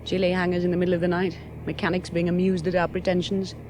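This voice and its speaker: A woman's voice: on the low side, little pitch movement, fast talker, natural voice. An actress playing a determined young woman aviator in a radio play, earnest and insistent. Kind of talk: 1970s radio drama. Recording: noisy background ambience.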